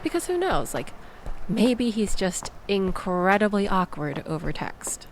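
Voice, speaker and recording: Casting: actress for sardonic young woman, mid-pitched, conversational and expressive, venting in an audio roleplay, light background ambience. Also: unhurried, a little hiss or hum. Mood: wry, deflated. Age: young adult. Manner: wry, sarcastic, animated storytelling with sighs and laughs. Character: young woman venting sarcastically after a bad date. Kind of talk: intimate audio roleplay, conversational monologue to listener.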